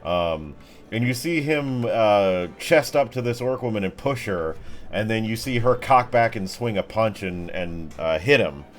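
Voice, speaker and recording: A man's voice: on the low side, animated, unhurried. A man narrating an improvised tabletop game as game master in a casual, descriptive way. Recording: music behind the voice.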